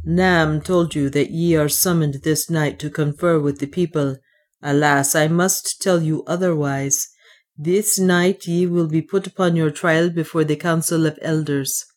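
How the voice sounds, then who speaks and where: A woman's voice: low voice, steady tone, measured pace, darkish tone. A woman voicing a grave, sorrowful soldier. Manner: grave, formal. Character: grave male soldier Ulfan, honest and sorrowful.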